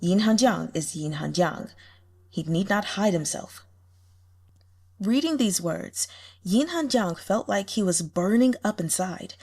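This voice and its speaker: Casting: female audiobook storyteller, mid-pitched, lively intonation, slightly husky, expressive scripted delivery. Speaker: audiobook reader.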